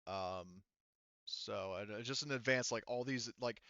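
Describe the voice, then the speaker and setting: A man running a tabletop game as game master, narrating casually in an informal, halting manner. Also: on the low side, bright, forceful tone, no echo. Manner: informal, halting, sometimes profane. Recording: dull, muffled lo-fi sound.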